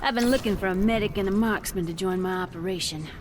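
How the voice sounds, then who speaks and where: An actress playing a confident, proud huntress countess in a game. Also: female voice, mid-range voice, fast talker, lightly acted. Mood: cool. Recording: game audio with loud background noise.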